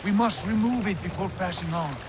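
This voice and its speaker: An actor playing a measured, commanding Viking leader in a video game, patient and authoritative. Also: male voice, high-pitched, lightly acted. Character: measured, commanding Viking leader planning to settle new lands. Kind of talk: video game dramatic acting. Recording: loud rushing river water noise. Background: boat on flowing water.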